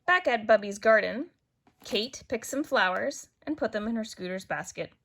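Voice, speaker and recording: A woman reading a children's picture book aloud in an expressive, animated way, as a storyteller. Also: on the high side, moderate pace, creaky. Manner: animated, acts out character dialogue. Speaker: storybook reader.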